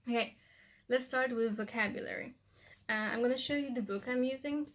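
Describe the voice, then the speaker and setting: A woman's voice: on the high side, fairly quick. A young woman, a native Polish speaker, talks casually through her study tips in a loose, rambling way. She fills her talk with "like" and "you know.". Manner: chatty, loose, restarts often with "like" and "you know". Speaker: language-learning vlogger. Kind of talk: casual how-to vlog.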